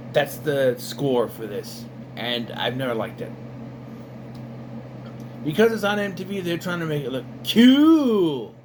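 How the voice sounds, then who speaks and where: A man in casual commentary, reviewing an animated series in a rambling, sarcastic way with lots of fillers. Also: on the high side, noisy background. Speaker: video reviewer.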